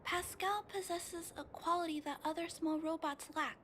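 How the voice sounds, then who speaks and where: A woman voicing a gentle, earnest young girl in a video game, polite and sincere. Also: very high-pitched, fairly even intonation, normal speed, a little hiss or hum. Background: game music.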